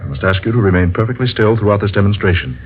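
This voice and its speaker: A man's voice: on the low side, animated, close to an everyday voice. A man playing a solemn lecturing inventor, old radio. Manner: slow, deliberate, lecturing. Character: solemn male inventor revealing a discovery. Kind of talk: 1940s radio drama acting. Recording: lo-fi old radio recording with faint hiss.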